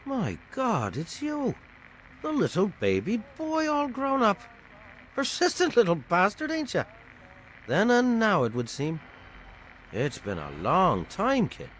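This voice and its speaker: A man's voice: sits high, wildly swooping pitch, slow pace, a bit bright, a little hiss or hum, full character voice, low-quality recording. A man voicing a sly, boastful saloon owner in a video game, with a theatrical, condescending manner. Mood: mocking surprise. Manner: smooth-talking, theatrical, condescending. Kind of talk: video game character dialogue.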